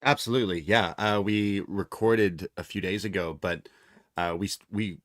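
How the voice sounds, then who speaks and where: A man's voice: deep voice, moderate pace, a bit bright, no echo, clean studio sound. A man co-hosting a podcast, talking in a casual, rambling, conversational way. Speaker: podcast co-host. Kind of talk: casual chat.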